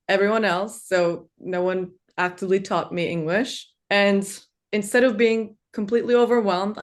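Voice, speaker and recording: A woman in her twenties, born in Turkey with Turkish as her first language, speaking earnestly on a webinar panel with frequent ums and uhs. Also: mid-range voice. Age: 20s. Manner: earnest, fills pauses with um and uh.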